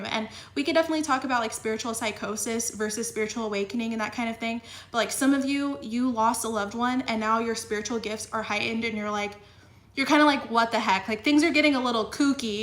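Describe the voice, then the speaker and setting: Young woman doing a tarot reading, kinda high voice, chatty, bit sniffly, echoey room. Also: fairly bright tone. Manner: chatty, filler-heavy with frequent "like" and "you know". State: slightly sniffly. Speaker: tarot reader. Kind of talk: casual tarot reading to camera.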